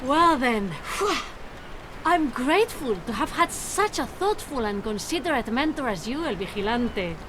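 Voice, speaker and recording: A woman voicing a bold, sassy young swashbuckling heroine in a video game, animated and playfully defiant. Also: high-pitched, unhurried, noisy background. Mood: playful, warm.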